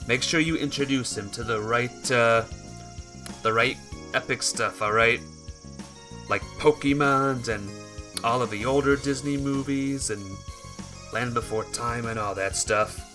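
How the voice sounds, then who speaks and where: A man live-streaming a visual novel, reading the text aloud and breaking off for casual, halting asides to his viewers. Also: mid-range voice, a bit bright. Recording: music behind the voice.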